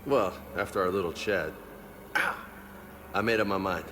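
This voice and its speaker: A man's voice: low voice, moderate pace, music in the background, lightly acted. A man playing a cocky, joking young marine. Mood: easygoing. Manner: casual, joking, laughs easily. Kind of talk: video game cutscene acting.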